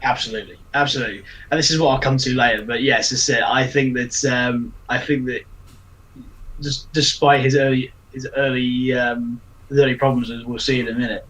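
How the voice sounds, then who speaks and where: A man's voice: medium pitch, steady tone, brisk, fairly bright tone, poor audio. A man co-hosting a sports podcast in casual chat, making blunt, offhand remarks. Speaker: podcast co-host.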